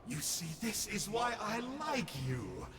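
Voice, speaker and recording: An actor playing a sly, flippant demon scholar in a video game, wordy and mock-dramatic. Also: male voice, high-pitched, huge pitch swings, a little slow, a crowd in the background, full character voice. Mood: wry, playful. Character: sly, theatrical male Abyss demon scholar, flippant trickster. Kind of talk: video game character acting. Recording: game music behind the voice.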